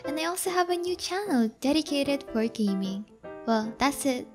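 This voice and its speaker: Woman, fairly high pitch, channel creator, outro announcement. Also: animated, moderate pace, music in the background.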